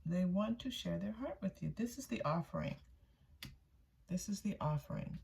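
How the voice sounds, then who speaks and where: A woman's voice: lowish voice, animated, normal speed, vocal fry, a little mellow, a little hiss or hum. A woman giving a casual, intuitive tarot reading for viewers, often repeating phrases for emphasis. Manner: voices another person's thoughts in first person. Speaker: tarot reader, channel host.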